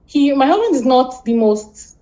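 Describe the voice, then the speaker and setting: Nigerian woman guest, mellow and fairly high, halting chat, lo-fi line. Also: big pitch swings. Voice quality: halting, repeats words, laughs often. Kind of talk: casual interview chat.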